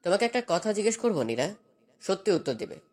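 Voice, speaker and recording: A man's voice: high-pitched, sing-song, fast talker, darkish tone. A man playing a possessive, domineering young husband in a dramatized romantic story, speaking in a commanding, insistent way. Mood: earnest probing.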